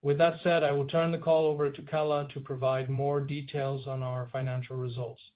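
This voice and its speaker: A man's voice: medium pitch, little pitch movement, brisk. Phone-line man reading formal executive remarks. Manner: formal, read-out delivery. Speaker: chief executive. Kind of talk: scripted earnings call remarks. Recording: tinny phone-line conference call audio.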